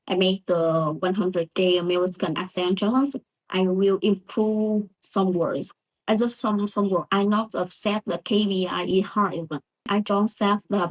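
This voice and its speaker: A woman's voice: medium pitch, low-quality recording. A woman with a Vietnamese accent, a Vietnamese native speaker, giving a hesitant pronunciation practice lesson in broken English with many restarts. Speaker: English learner vlogger.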